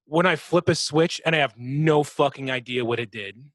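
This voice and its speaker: A man's voice: medium pitch, moderate pace, fairly bright tone, no room sound. A man co-hosting a podcast, chatting casually about games, swearing freely and imitating sound effects. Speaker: podcast co-host. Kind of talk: casual gaming chat.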